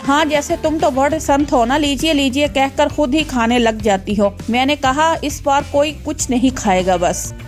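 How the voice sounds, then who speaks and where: A woman's voice: high-pitched, moderate pace, few pauses, soft background music, natural voice. A woman voicing a firm young daughter-in-law in a cartoon, who scolds her family. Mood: scolding. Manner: scolding, bossy. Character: firm young daughter-in-law, strict about healthy food. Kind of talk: cartoon voice acting.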